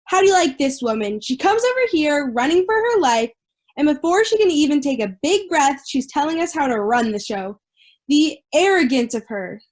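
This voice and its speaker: Hot-tempered, prejudiced juror, played by a woman, high voice, blunt, amateur audio drama. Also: big pitch swings, moderate pace. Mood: indignant. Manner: indignant, blunt.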